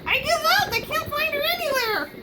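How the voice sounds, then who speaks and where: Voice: very high-pitched, expressive, a little fast. A playful, giggly puppeteer improvising a puppet show with bits of singing, in a noisy home recording.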